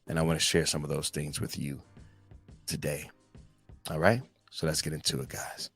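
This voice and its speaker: A man's voice: a bass voice, level tone, normal speed, creaky, a bit bright, breathy edge, soft background music. A man in his forties giving a casual, slangy motivational talk as a podcast host, speaking directly to his listeners. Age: 40s. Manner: conversational, slangy, addresses listeners as guys.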